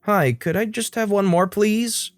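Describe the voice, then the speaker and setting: A polite, defiant young girl, voiced by a male narrator answers in careful, formal sentences. The voice is raised somewhat above his usual pitch. It comes across as a storybook heroine in a read-aloud tale. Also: measured pace, dry recording.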